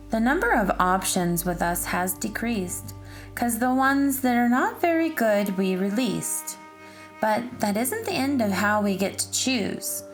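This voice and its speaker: A woman narrating for children in an expressive, deliberate and instructive read-aloud style. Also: medium pitch. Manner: deliberate, instructive, addressing young listeners.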